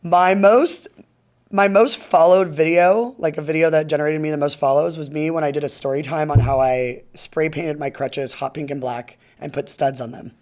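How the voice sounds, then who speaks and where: A woman with a deep voice, hosting a podcast in casual, upbeat chat and laughing easily. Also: steady tone, moderate pace, a little mellow. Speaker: podcast host.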